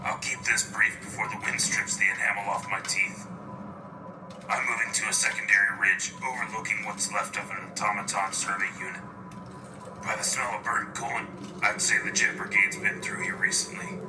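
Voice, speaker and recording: Low, flat, hardened war correspondent played by a man. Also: moderate pace, lightly acted. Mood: grim, sardonic. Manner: terse, deadpan, sardonic. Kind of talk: scripted sci-fi field report. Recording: echoey, distant field transmission, music behind.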